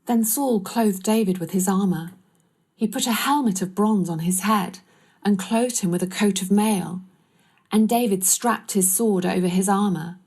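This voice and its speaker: A woman's voice: mid-range voice, normal speed, a little mellow, breathy edge. A woman narrating a scripture story from a script.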